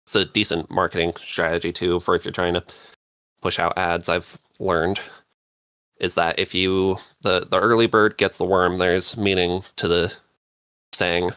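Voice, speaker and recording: A man giving casual advice as a podcast panelist, halting and thinking aloud as he goes. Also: low voice, level tone, sharp tone, clear, resonant tone, no echo. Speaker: podcast panelist, script writer.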